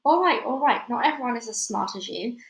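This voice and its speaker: A woman's voice: fairly high pitch, expressive. A woman voicing a curious, headstrong young girl who fires off eager questions, in an echoey room. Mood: exasperated. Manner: rapid-fire eager questions.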